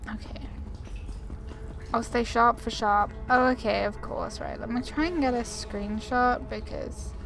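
A woman's voice: a little high, fairly even intonation, measured pace, creaky, a bit bright, faint music behind. A woman giving casual, chatty commentary on a game, informal and a little apologetic, sometimes reading its text aloud. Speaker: gaming video creator.

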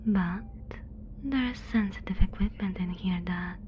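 Woman playing a slow, whispering, composed alien scientist. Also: mid-range voice, dull audio, slight background noise, natural voice. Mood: matter-of-fact warning. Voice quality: soft breathy whisper. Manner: measured, formal, reassuring. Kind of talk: whispered ASMR roleplay.

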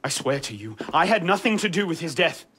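Earnest, husky young assassin. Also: male voice, fairly high pitch, very animated, a little fast, bright, forceful tone, full character voice. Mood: desperate denial. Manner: emotional, imploring.

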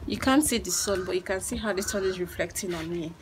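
A woman's voice: fairly low pitch, fast. A woman in her twenties with a Nigerian accent chats casually and emphatically to the camera, repeating phrases for stress. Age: 20s. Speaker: vlogger. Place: outdoors in a village yard. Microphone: camera at arm's length. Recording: noisy outdoor phone-style recording with clicks.

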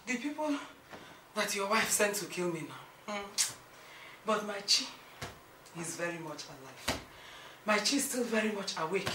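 A woman's voice: mid-range voice, lively intonation, moderate pace, pauses often, natural voice. An actress playing an elderly, fiery, aggrieved mother-in-law in a film melodrama, with a Nigerian Igbo accent and an emphatic, repetitive delivery. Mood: wounded, defiant. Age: older. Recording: roomy, distant mic with loud noise.

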